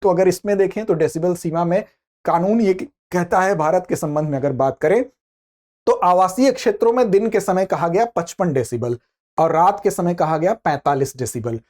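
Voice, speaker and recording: This is a current affairs lecturer with a soft, mellow tone. The delivery is didactic and explanatory, often asking a question and then answering it. Key points get repeated and rephrased for students. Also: mid-range voice, expressive, moderate pace.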